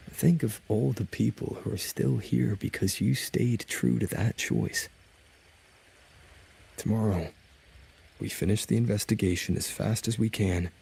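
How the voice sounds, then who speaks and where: A resolute male companion who speaks calmly and gently. His voice sits low and gravelly, with a husky, mellow tone. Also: exaggerated voice. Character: steady, resolute male companion reassuring a friend. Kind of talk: video game voice acting.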